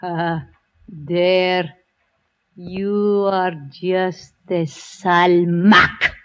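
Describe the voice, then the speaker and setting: A woman's voice: fairly low pitch, little pitch movement, very slow, smooth. An actress playing a sullen, world-weary underworld woman in a radio drama, scornful and declamatory, on a lo-fi recording. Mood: scornful, fierce. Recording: dull, lo-fi old radio recording.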